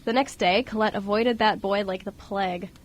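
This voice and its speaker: A woman's voice: medium pitch, fairly even intonation, normal speed. A woman reading scripted story narration as narrator of an amateur radio play. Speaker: radio show co-host and narrator.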